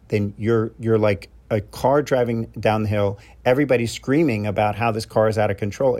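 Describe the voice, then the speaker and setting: A man's voice: lowish voice, a little mellow. A middle-aged man from New York with a New York accent, a love coach talking to his podcast listeners in a loose advice monologue, full of "uh" fillers and restarts. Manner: conversational, frequent "uh" fillers and restarts.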